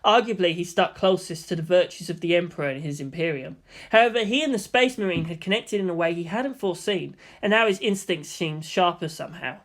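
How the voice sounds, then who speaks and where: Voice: mid-range voice, fairly bright tone. A narrator giving a scripted, dramatic reading of fan fiction.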